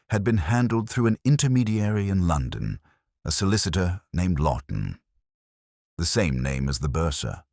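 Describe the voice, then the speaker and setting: A man as a very deep, brooding detective. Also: a little mellow, no room sound, clean studio sound. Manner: measured, literary, solemn. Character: melancholy, brooding male English police detective narrator. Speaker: narrator. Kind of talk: scripted first-person detective story narration.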